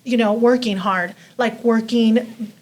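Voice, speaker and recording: A woman's voice: fairly high pitch, fairly even intonation, slow pace, darkish tone. A woman in her forties, from Mexico, telling her life story in a casual, chatty way with many fillers. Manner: chatty, lots of fillers like "you know" and "like". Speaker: interview guest.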